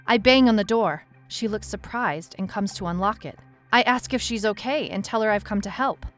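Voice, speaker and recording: Female detective lead actress, audio drama. Wry, anxious first-person noir narration at medium pitch, light music bed. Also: lightly acted.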